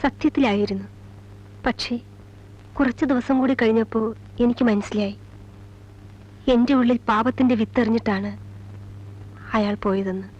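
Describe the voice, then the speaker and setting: Actress as high-voiced sorrowful mother confessing. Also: steady tone, moderate pace, long pauses, darkish tone, lightly acted. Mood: bitter, resentful. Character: sorrowful mother, former college teacher, confessing her past. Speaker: film actress. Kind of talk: Malayalam film drama, slow confessional narration. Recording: dull old film soundtrack, music behind. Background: film score music.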